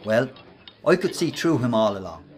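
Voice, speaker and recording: A man's voice: medium pitch, big pitch swings, normal speed, darkish tone, lightly acted. A man acting in a radio drama as a mocking male workplace bully, with a taunting manner. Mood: jeering. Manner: taunting, mimics stammers. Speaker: actor in a radio play.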